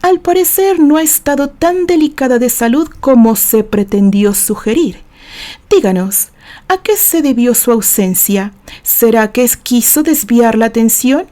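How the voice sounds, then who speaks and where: A woman's voice: fairly high pitch, lively intonation, measured pace, warm tone. A Mexican woman voicing a hostile female lawyer who questions in a pointed, mock-polite, insinuating way in an audio story. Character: hostile female lawyer, sarcastic and probing in court.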